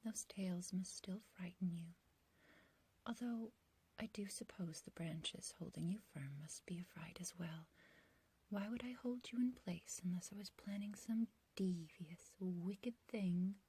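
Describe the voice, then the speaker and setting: A woman playing an ancient, beautiful witch in an ASMR roleplay, obsessively loving and menacing, speaking in a breathy whisper with archaic, theatrical phrasing. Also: lowish voice, mellow. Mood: menacing, adoring. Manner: slow, theatrical, archaic wording, doting yet condescending.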